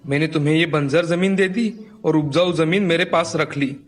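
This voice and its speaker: Scheming elder brother, fairly high male voice acted. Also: moderate pace, faint music behind, lightly acted. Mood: falsely apologetic. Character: greedy, scheming elder brother, village landowner. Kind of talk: dramatized Hindi folk tale dialogue.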